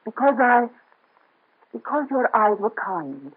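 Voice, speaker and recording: An actress playing a young noblewoman in hiding, soft, earnest and dignified, in an old radio drama. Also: on the high side, big pitch swings, slow pace, breathy edge, full character voice. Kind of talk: 1930s radio drama acting. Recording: muffled lo-fi old radio transfer.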